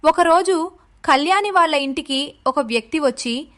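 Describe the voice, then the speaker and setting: Woman narrator for kids' moral tale, clearly high register, scripted delivery. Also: normal speed, fairly bright tone.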